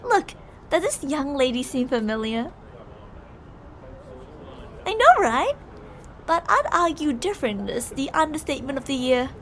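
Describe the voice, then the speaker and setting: A woman's voice: sits high, sing-song, a little slow, long pauses, fairly bright tone, slight background noise, full character voice. A woman playing a possessive, delusional young yandere bully in an ASMR roleplay, teasing, insistent and emotionally volatile. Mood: sweetly pushy.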